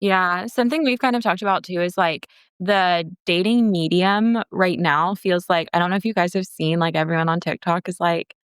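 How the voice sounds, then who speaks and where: An American woman co-hosts in a clear, slightly low voice. Her talk is casual and informal, full of "like". It sounds close and dry. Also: normal speed, few pauses, no room sound. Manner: informal, frequent "like". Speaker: podcast co-host. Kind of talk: casual chat.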